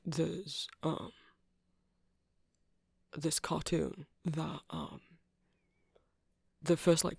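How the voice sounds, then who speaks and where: A soft-spoken man doing a rambling ASMR roleplay as a shopkeeper, hesitant and apologetic in manner. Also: a little high, gravelly, husky, dry recording, clean studio sound. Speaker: character performer playing a shopkeeper.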